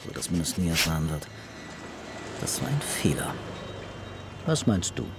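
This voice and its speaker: Firm police commissioner amid street noise. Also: male voice, low-pitched, very animated, moderate pace, full character voice. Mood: reproachful. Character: brisk, insistent male police commissioner giving orders. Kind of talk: radio drama acting. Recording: clear traffic and outdoor background noise.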